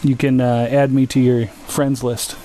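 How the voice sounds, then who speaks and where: A man chatting casually and a little haltingly as he streams a video game. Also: mid-range voice, little pitch movement, mellow, slight background noise. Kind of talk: casual gaming livestream chat.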